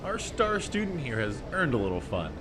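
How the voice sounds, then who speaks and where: A man's voice: mid-range voice, huge pitch swings, moderate pace, background noise. A man playing a jovial, generous academic in an audio drama, gushing and theatrical in manner. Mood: effusive, generous. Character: jovial, effusive, generous male academic doctor.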